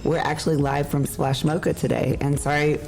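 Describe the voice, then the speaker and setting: A middle-aged woman with a hoarse, worn voice, hosting a casual podcast and rambling openly. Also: deep voice, level tone, a little fast, a little mellow, slight background noise. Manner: rambling, emotional, laughs often. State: hoarse, lost voice. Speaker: podcast host. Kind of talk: casual chat. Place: room at an event hotel. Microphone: shared mic.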